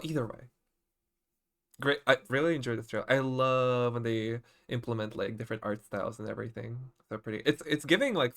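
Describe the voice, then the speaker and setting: A man giving casual livestream reaction commentary as a game streamer, expressive and exclamatory, often halting mid-thought. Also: medium pitch. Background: game trailer music.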